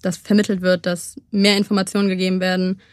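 A woman's voice: on the low side, flat delivery, dry recording. Thoughtful young woman, dry close sound. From Germany. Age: young adult. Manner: thoughtful, measured, frequent hesitations. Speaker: interview guest. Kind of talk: reflective interview talk.

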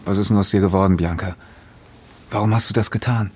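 A man's voice: fairly low pitch, steady tone, fast talker, darkish tone, a little hiss or hum, close to an everyday voice. A man playing an earnest, insistent character in a German podcast drama, talking in an urgent, confrontational way. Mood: accusing, insistent. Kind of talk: German fiction podcast acting.

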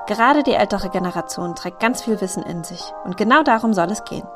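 A woman hosting a cooking interview podcast, reading a scripted intro and then asking slow questions with fillers. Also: mid-range voice, animated, music in the background. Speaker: podcast host.

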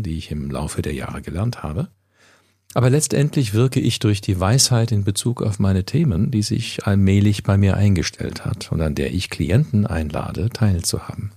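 A Canadian man who learned English first, speaking German as a coach and podcast host in a measured, reflective way. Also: lowish voice, mellow, slightly rough, no room sound, studio quality. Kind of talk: scripted intro then interview answers.

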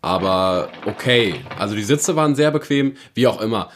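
A man's voice: fairly low pitch, expressive, normal speed, a little hiss or hum. A young man co-hosting a podcast in loose, rambling casual chat, telling a story with lots of fillers. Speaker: podcast co-host.

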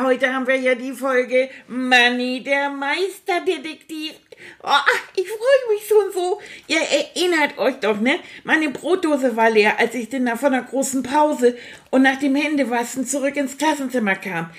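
A cheeky male stuffed toy rat, voiced by a woman, chatters and boasts through a children's audio story. His voice sits high and playful. He talks straight to the young listeners. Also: a little slow, a bit bright. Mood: chatty.

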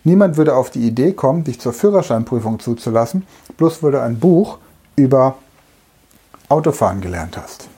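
A middle-aged man delivers a lecture-style monologue as a language trainer, speaking straight to the listener and leaning on everyday comparisons. His voice is husky and mellow, with a soft, dark tone. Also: mid-range voice, animated, moderate pace. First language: German. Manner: direct, uses everyday examples, speaks to the listener as du.

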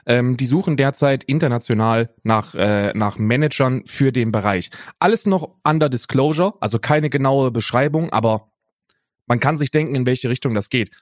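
Male podcast co-host, young, German accent. Mid-pitched, casual, slangy and emphatic, recorded dry and close. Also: normal speed, dry recording. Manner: slangy, emphatic, repeats phrases. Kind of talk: casual unscripted podcast banter.

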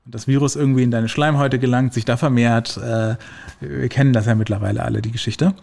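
A man talking through health science in a relaxed, conversational way. He pauses often on fillers and works through everyday examples. His pitch sits in the middle range for a man. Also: vocal fry. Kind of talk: casual explanatory conversation.

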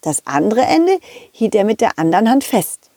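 A woman telling a children's story in a gentle, deliberate way, speaking to the young listeners. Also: medium pitch, big pitch swings, dry recording, clean studio sound. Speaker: puppeteer and storyteller.

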